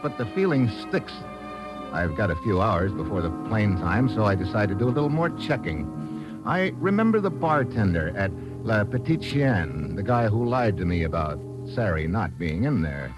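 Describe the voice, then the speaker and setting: Tough low-voiced American radio detective. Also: male voice. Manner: hard-boiled, clipped, slangy. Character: tough wisecracking American male investigator narrating his own case. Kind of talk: 1940s-50s American radio detective acting, first-person narration. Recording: old radio recording with music behind.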